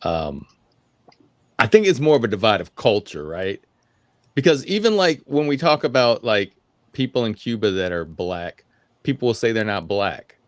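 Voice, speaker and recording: Low-voiced man, hesitant and halting. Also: sing-song, no room sound. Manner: hesitant, searching for words. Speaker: panelist. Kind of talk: casual panel discussion.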